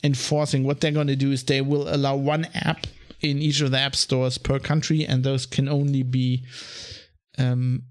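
A man's voice: mid-range voice, little pitch movement, normal speed. A man with a German accent hosting a solo podcast, rambling through his thoughts with many ums and restarts. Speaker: podcast host. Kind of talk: casual solo podcast monologue.

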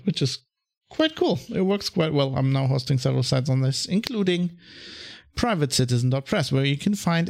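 A man's voice: medium pitch, creaky. Rambling man with a German accent. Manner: rambling, many ums and restarts. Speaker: podcast host. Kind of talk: casual solo podcast monologue.

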